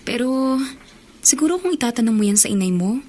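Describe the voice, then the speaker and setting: An actress playing a gentle, reasoning young woman confidante in a radio drama, with a husky voice. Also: a little high, sing-song, a little fast. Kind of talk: Tagalog radio drama acting.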